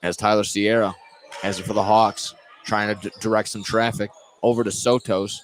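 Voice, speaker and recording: A man's voice: on the low side, level tone, moderate pace. An American man doing live play-by-play commentary of a basketball game. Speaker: play-by-play announcer. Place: indoor basketball gym, broadcast commentary. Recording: noisy live gym ambience.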